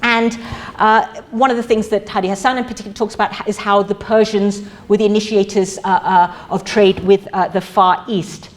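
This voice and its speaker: A middle-aged woman gives an academic lecture in an auditorium, her voice at an ordinary middle pitch. She talks haltingly, full of fillers and restarts as she works through her points. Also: steady tone. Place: museum auditorium.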